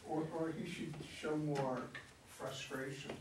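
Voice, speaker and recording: A woman's voice: deep voice, little pitch movement, unhurried. A woman giving an opinion in an informal group critique, picked up in a room. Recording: echoey room, distant, loud background noise.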